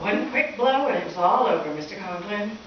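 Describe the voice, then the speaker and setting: A woman's voice: medium pitch, animated, normal speed, close to an everyday voice. A woman playing a wry, exasperated schoolteacher with theatrical delivery in a comic live radio play. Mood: dry sarcasm. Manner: dry sarcasm, theatrical alarm. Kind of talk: comic old-time radio play acting. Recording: echoey hall from far off, dull, hissy.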